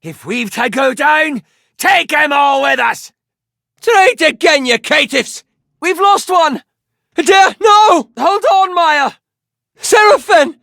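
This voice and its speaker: Refined fantasy adventurer, widely swooping voice. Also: male voice, very high voice, lively intonation, slow pace, creaky, bright, forceful tone, dry recording, studio quality, heavily acted. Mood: desperate, shouting. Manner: formal, old-fashioned wording. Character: refined, cautious, earnest male adventurer with a fiery streak. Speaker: party companion character in a role-playing game. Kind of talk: fantasy video game voice acting.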